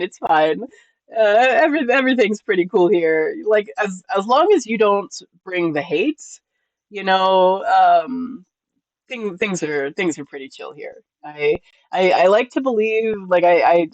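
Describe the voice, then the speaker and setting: A man from California and New Mexico chatting on a live stream while reading chat, halting with many restarts and frequent laughs. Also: high-pitched, no echo, dull audio. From born in California, raised in New Mexico. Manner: laughs often, easygoing.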